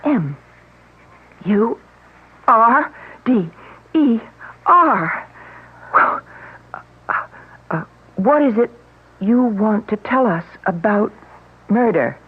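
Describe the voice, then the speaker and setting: A woman playing a hostess who reads out a Ouija board, slow and hushed, in a radio drama. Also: on the high side, animated, husky, exaggerated voice. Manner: slow and hushed, then bright. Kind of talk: 1970s radio drama acting. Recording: tinny old radio broadcast with faint hiss.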